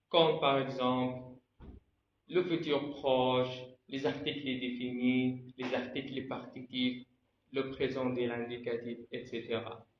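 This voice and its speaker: Slow, deliberate young Egyptian man. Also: mid-range voice, slight background noise. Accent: Egyptian Arabic. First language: Arabic. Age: 20s. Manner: slow, deliberate, teacherly, listing points. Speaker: channel host. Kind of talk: measured explanatory monologue. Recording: echoey room, slightly dull sound.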